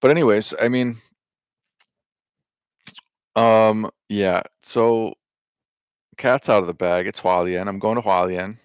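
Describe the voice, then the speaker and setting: A man's voice: fairly low pitch, fairly even intonation, slow pace, long pauses, smooth, no echo, clean studio sound. A man talking in a loose, meandering monologue, full of fillers, restarts and casual swearing. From United States. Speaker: vlogger.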